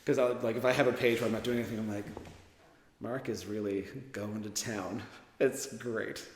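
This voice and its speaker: A man speaking casually in an interview as a writer and performer, enthusiastic and expressive. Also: on the low side, normal speed, background noise. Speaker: writer and radio drama performer. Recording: echoey, reverberant room.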